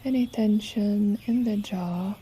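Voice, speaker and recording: A woman leading a guided relaxation meditation as a yoga nidra teacher, with soothing, unhurried, gentle instruction. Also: mid-range voice, a little hiss or hum, rough recording. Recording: nature ambience with birdsong behind. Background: birdsong and nature sounds.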